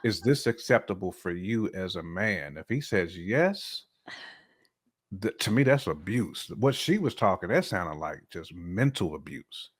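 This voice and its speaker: A man's voice: low-pitched, animated, a little slow, husky. An American man co-hosting a casual relationship talk show, giving emphatic opinions, repeating his points and acting out imagined quotes. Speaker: podcast co-host.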